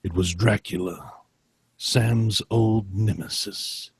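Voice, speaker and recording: A man co-hosting a podcast, moving between self-deprecating chat and deliberate, dramatic story reading. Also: fairly low pitch, fairly even intonation, slightly rough, no echo. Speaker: podcast co-host.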